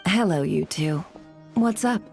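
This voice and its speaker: Sly broker lady, kinda low voice, cool n polite, coy, video game dub w music under. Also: animated, unhurried, gravelly. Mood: smooth, calculating. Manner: composed, coy, insinuating. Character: shrewd, sly female intelligence broker, coolly polite. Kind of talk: video game character dubbing.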